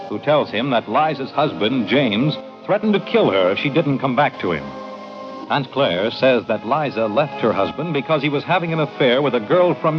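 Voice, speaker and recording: A male old-time radio announcer reads scripted narration with animated, swinging intonation. Music plays clearly behind him, and the recording has a dull, vintage sound. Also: mid-range voice.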